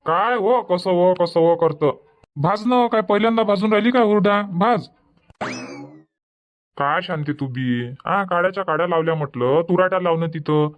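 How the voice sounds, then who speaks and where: A higher-pitched village farmer husband, voiced by a man in a cartoon comedy. He grumbles and gives orders. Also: normal speed, lo-fi sound, lightly acted. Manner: grumbling, bossy, defensive.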